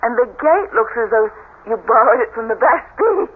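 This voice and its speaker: An actress playing a sensitive, insecure young bride with a dramatic, emotional delivery in an old-time radio drama. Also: female voice, on the high side, expressive, brisk, slightly rough. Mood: playful, wondering. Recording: muffled noisy old radio broadcast.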